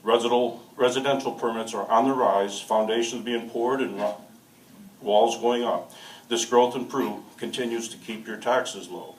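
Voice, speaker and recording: A man's voice: medium pitch, fairly even intonation, normal speed. Formal man speaking in an echoey hall. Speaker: incumbent mayor candidate. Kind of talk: reading a prepared debate statement. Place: public forum room. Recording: echoey hall from far off, faint noise.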